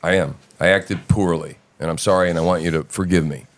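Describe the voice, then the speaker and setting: Low-voiced, profane talk host. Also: male voice, steady tone, fairly quick. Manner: profane, emphatic, keeps checking in with "right?". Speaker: host of a live audio show. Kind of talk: casual rambling talk.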